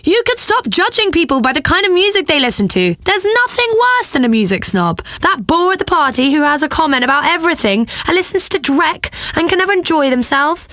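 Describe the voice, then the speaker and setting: A young British female pop DJ on game radio, bubbly and flippant. Her high voice swoops playfully through sardonic patter, recorded close and dry. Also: sing-song, brisk, few pauses, pushed and bright, no room sound, full character voice. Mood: playfully preachy.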